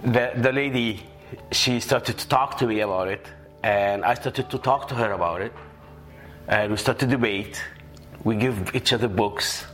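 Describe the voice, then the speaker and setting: A man's voice: on the low side, moderate pace, fairly bright tone, faint music behind. A man from Egypt telling his faith story in a video testimony, hesitant and searching for words. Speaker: church member giving testimony.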